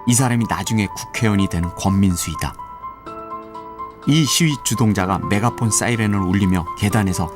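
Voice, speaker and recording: Male narrator for a radio drama; low register, even descriptive read over music. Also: normal speed.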